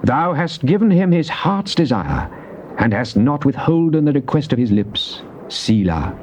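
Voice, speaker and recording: An actor playing a devout psalmist king, reciting scripture in a solemn, declamatory, deliberate way. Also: fairly high pitch, big pitch swings, darkish tone. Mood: solemn, exalted. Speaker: actor reading Psalms in a dramatized Bible recording. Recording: muffled old cassette tape with faint noise.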